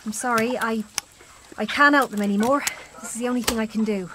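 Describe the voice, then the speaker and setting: An actress playing a distraught lone survivor, halting and grief-stricken, in an audio drama. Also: female voice, fairly high pitch, lightly acted. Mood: grieving, desperate. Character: distraught, lone woman survivor caring for dying farm animals. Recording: loud farmyard noise and animal sounds. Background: farm animals, chickens, outdoor ambience.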